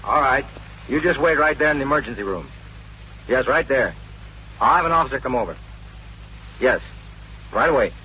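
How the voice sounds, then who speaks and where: A man's voice: medium pitch, animated, moderate pace, pauses often, sharp tone. A man playing a busy, plain-spoken police station official in a 1950s radio drama, conversational and matter-of-fact. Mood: brisk, routine. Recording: dull noisy vintage radio recording.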